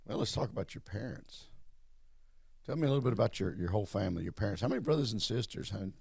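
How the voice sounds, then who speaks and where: Male interview host, low gravelly voice, meandering friendly delivery. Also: animated, fast, a little mellow, no room sound, clean studio sound. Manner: rambling, repeats words, friendly. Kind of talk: casual interview.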